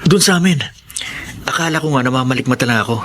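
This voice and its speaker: A man's voice: medium pitch, expressive, fast, fairly bright tone, a little hiss or hum, close to an everyday voice. A man playing a middle-aged godfather and loyal, gossipy friend in a Filipino radio drama. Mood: earnest.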